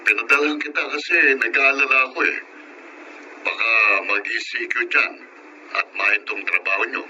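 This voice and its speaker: A man's voice: mid-range voice, steady tone, moderate pace, pushed and bright, muffled recording, a little hiss or hum. A man playing a caring middle-aged provincial uncle in a radio drama. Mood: concerned, kindly. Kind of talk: Filipino radio drama acting.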